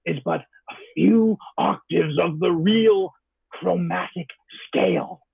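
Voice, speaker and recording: An actor playing an anguished man of science in an old-time radio drama, raspy and impassioned as he haltingly defends his sanity. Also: high voice, no room sound, heavily acted. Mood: anguished, insistent. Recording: muffled phone-like line.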